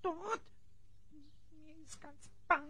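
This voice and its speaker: An actor voicing a small, timid, easily offended elf goblin in a fantasy audio drama, squeaky and theatrical. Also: takes it slowly, bright, forceful tone, heavily acted. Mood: frightened. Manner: whiny, indignant, theatrical.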